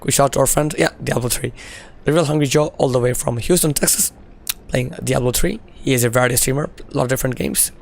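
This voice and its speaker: Hoarse, gravelly, chatty male streamer. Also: medium pitch, fast. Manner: chatty, laughing, full of "you know" fillers. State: losing his voice, hoarse. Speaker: game streamer. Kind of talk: casual live-stream chat while gaming.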